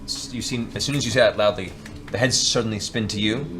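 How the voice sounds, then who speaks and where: A man narrating a tabletop fantasy game as game master, improvising theatrical descriptions with deliberate pauses. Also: lowish voice, background noise. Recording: music bed behind the voice.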